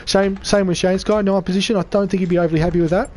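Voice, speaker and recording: A man commentating an online racing league, croaky from losing his voice, upbeat and chatty with viewers. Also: sits high, fairly even intonation, rapid-fire, a little mellow. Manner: upbeat, chatty, reads out chat. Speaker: race commentator. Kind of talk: live sim racing commentary.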